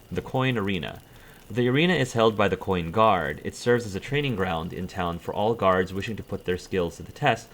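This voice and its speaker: A man's voice: sits low, a bit bright, a little hiss or hum. A man hosting a game playthrough, reading in-game codex entries aloud with stumbles and self-corrections and dropping brief dry asides. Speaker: let's play host.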